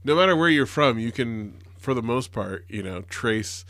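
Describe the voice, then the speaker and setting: A man's voice: mid-range voice, expressive, moderate pace, fairly bright tone, no room sound. A man, a teacher, talking earnestly in a rambling way full of fillers. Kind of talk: casual conversation.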